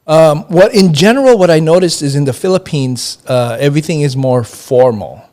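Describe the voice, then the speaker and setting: A middle-aged man from Hawaii, a guest in an interview, talking in a relaxed, hesitant way with many fillers and restarts. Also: a little high, moderate pace. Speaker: interview guest, accounting professor.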